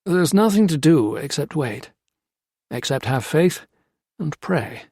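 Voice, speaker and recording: A man reading a story voices an older priest who speaks quietly and with compassion. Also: fairly high pitch, animated, takes it slowly, husky, dry recording, clean studio sound, voice pushed up, full character voice. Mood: gentle. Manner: quiet, soft, patient.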